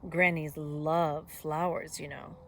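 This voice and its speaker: A woman voicing a sly, greedy male wolf in a storybook, with smooth-talking, wheedling politeness. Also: deep voice, flat delivery, takes it slowly, a bit bright, a little hiss or hum, heavily acted. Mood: charming, sly.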